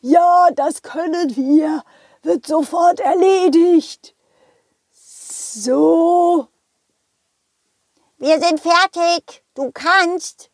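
A woman voicing grateful, excitable little bookworms who eagerly repeat themselves in a children's audio play. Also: very high voice, drawn-out words, long pauses, no echo, studio quality, voice pushed up, full character voice.

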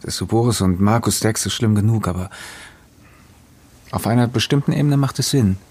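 A man's voice: fairly low pitch, normal speed, darkish tone, slightly rough. A man playing an earnest, insistent character in a German podcast drama, talking in an urgent, confrontational way. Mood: accusing, insistent. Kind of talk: German fiction podcast acting.

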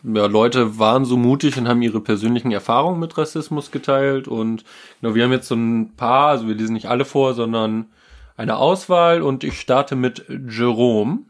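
A man's voice: medium pitch, normal speed. Hesitant man, casual podcast co-host. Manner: hesitant, fills with ähm and genau, then reads aloud. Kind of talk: casual political podcast talk.

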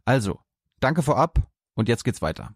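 A man's voice: medium pitch, sing-song, normal speed, breathy edge, no echo. Man making a dry, close announcement. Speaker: show host, appeal to supporters. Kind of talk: direct address to viewers.

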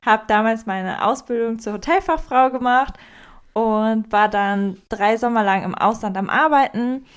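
A woman of twenty-six from near Cologne introducing herself in a relaxed way as co-host of a casual podcast. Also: a little high, unhurried, clean tone. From Germany, near Cologne. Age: 20s. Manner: relaxed, laughs easily.

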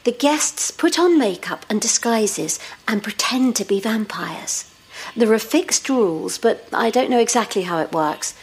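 Playful young woman, slightly breathy. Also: a little high, animated, unhurried, exaggerated voice. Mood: playful, teasing. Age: young adult. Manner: light, teasing, breezy. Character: playful, curious, self-assured young daughter of a professor. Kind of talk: audio drama acting.

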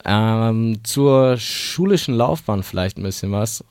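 Easygoing male host, low voice. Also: lively intonation, a little slow, darkish tone, smooth, no room sound, clean studio sound. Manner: easygoing, short slangy reactions. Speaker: interview host. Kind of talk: casual interview.

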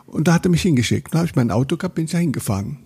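An older man, his voice gravelly and husky. He tells his memories in a rambling way, breaking off and restarting sentences as he goes. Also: on the high side, expressive, rapid-fire, dark tone. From Saxony, Germany. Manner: rambling, self-interrupting storyteller with many restarts. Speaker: interviewee, photographer. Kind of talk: recollecting in a conversational interview.

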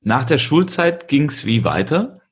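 Husky-voiced German podcast host. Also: male voice, medium pitch, sing-song, a little slow. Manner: upbeat, chatty, asks short questions. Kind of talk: podcast interview.

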